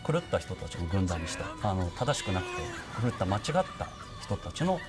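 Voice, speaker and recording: A man's voice: low voice, big pitch swings, rapid-fire. A man talking spontaneously in an interview as a game creator. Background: music.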